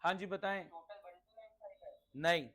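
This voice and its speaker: Male teacher, notably high voice; interactive lesson delivery with repetition, check-in questions and English terms mixed in. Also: takes it slowly, sharp tone. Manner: instructive, repeats points, checks understanding with questions. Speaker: accountancy teacher.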